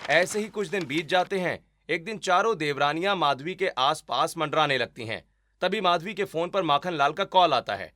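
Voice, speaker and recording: Male storyteller, bright forceful delivery, fairly high pitch, expressive dramatic family-story narration. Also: moderate pace.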